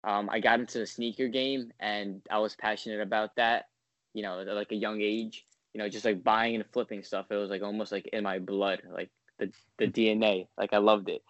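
A man's voice: on the low side, flat delivery, fairly quick, a bit bright, no echo, lo-fi sound. A man in his twenties, a guest in a casual interview, talking in a rambling way full of ums and likes. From United States. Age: 20s.

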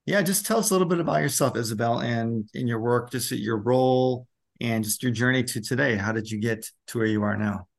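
A man's voice: mid-range voice, fairly quick, gravelly. Male interviewer, mid-range voice, casual and conversational. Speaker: interviewer and host.

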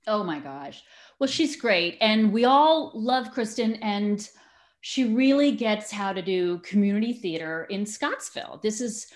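A woman speaking as an enthusiastic, expressive interview guest, chatting casually about community theater. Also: medium pitch, unhurried. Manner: enthusiastic, expressive big personality.

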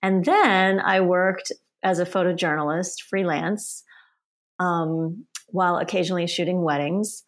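A middle-aged woman, a photographer born in Sweden and raised in the United States, talking in a casual, unhurried way with frequent pauses and restarts. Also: lowish voice. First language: Polish. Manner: unhurried, hesitant, many ums and restarts. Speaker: guest, photographer. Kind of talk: casual interview chat.

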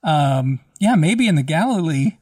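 A man co-hosting a podcast in casual chat, a little hoarse from losing his voice, talking warmly and rambling. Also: on the high side, animated, a little slow, dry recording, studio quality, far from the mic. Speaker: podcast co-host.

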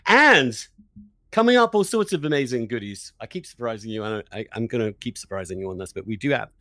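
A man's voice: mid-range voice, lively intonation, quick pace, sharp tone, dry recording, clean studio sound. A man hosting an opinionated political conversation as an interviewer, speaking candidly and emphatically, repeating words as he makes his points. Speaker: podcast host interviewing an author.

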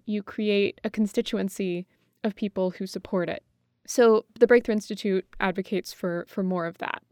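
A woman's voice: mid-range voice, darkish tone, clean tone, no room sound, studio quality. An American woman co-hosting a thoughtful conversational discussion, somewhat tentative as she feels her way to the right phrasing. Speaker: podcast co-host.

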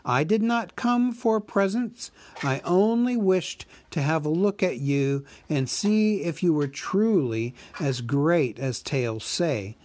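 A man voicing a small, clever male hobbit who speaks politely and flatteringly in riddles. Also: fairly high pitch, slow, few pauses, voice pushed up.

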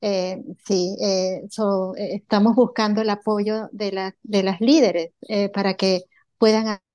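A woman's voice: mid-range voice, flat delivery, unhurried, darkish tone. A woman from Bolivia answering interview questions in an earnest way, with many filler sounds. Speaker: entrepreneur guest.

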